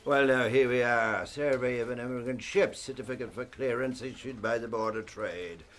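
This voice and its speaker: An actor playing a gossipy, officious Irish port immigration officer in a radio drama. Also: male voice, mid-range voice, normal speed, a bit bright, natural voice. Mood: chatty, curious.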